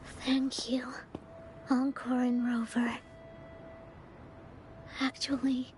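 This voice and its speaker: A woman voicing a sweet, wistful little girl in a video game, speaking gently and earnestly. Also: high-pitched, a little hiss or hum.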